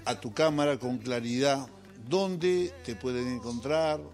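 A man's voice: medium pitch, a little slow, darkish tone, faint music behind. An older man hosting a casual interview, jokey and teasing, laughing often. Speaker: host.

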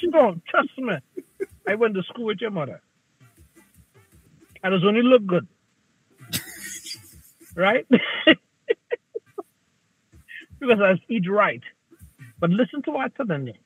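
A man's voice: high-pitched, sing-song, takes it slowly, long pauses, slightly rough, no echo. A man hosting a casual talk show, teasing his co-hosts in a playful way. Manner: playful, teasing, Caribbean English phrasing. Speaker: show host. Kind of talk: casual chat. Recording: tinny phone-like line.

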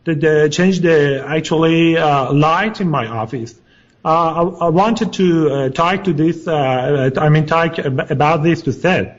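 A man calling in to a radio show over Skype, speaking haltingly with many fillers; the call sounds hollow. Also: on the high side, dull audio. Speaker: caller.

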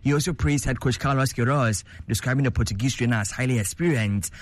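A man presenting sports news in a steady, formal, scripted delivery. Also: medium pitch, quick pace.

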